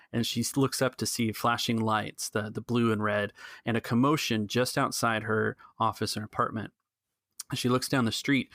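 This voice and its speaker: A man's voice: on the low side, vocal fry, fairly bright tone, no room sound, studio quality. A man hosting a tabletop role-playing game as game master and narrator.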